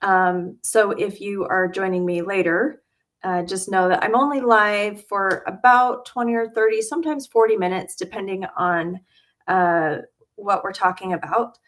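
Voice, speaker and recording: A woman's voice: mid-range voice, fairly even intonation, measured pace, a bit bright. A middle-aged woman from Utah hosting a casual livestream from her home studio, chatty and full of ums and uhs. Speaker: quilting channel host.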